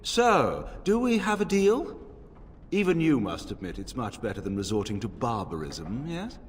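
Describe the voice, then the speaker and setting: An actor playing a slick, haggling slave trader in a video game, with smooth and theatrical delivery. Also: male voice, medium pitch, wildly swooping pitch, slight background noise. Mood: smug, bargaining. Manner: smooth bargaining, theatrical, deliberate. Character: slick haggling male slave trader, smug until cornered. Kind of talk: video game character acting. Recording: long hall reverb.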